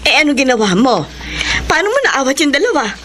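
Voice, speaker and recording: A woman's voice: high voice, sing-song, fairly quick, noisy background. An actress with a Filipino accent playing an amused, teasing, gossipy neighbour lady in a radio drama. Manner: exclaiming, mock-admiring. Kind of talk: Tagalog radio drama acting.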